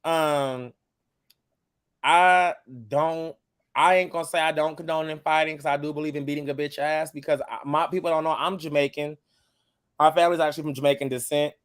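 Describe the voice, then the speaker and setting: Casting: twenties male guest, blunt, level-toned, swears calmly, slightly high voice. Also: brisk, clean tone, no echo, studio quality. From United States. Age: 20s. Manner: blunt, calm and cussing. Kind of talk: casual chat.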